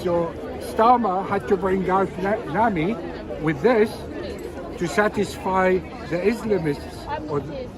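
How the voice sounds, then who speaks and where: High-voiced, insistent man in a loud crowd. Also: slow. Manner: emphatic, insistent, repeats phrases. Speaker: protest attendee being interviewed. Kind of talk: impassioned street interview opinion. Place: outdoors at a busy city street protest. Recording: loud crowd noise outdoors. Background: loud protest crowd.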